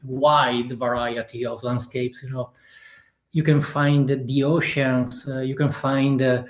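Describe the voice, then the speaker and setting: A man in his fifties with an Italian accent, an author answering interview questions haltingly and searching for words in a non-native language. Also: medium pitch, flat delivery, echoey room. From Italy. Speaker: author guest.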